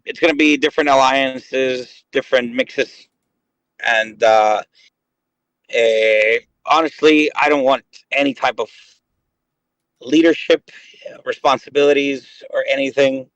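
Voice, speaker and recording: A man's voice: medium pitch, steady tone, takes it slowly, long pauses, a bit bright, clear, resonant tone, no echo, poor audio. A man in his late thirties chatting casually on a voice call, rambling and hesitant with many fillers. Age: 30s. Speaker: gaming guest. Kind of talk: casual chat.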